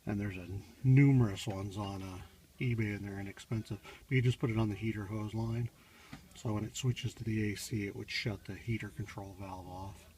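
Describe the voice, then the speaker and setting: A man gives a casual, unscripted how-to walkthrough in a halting, rambling, matter-of-fact way, recorded on a handheld phone in a garage. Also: fairly low pitch, steady tone, warm tone. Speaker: hobbyist car DIY demonstrator. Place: home garage, in and around a car. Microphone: handheld phone, moved around while filming. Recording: distant mic, noisy handheld phone recording.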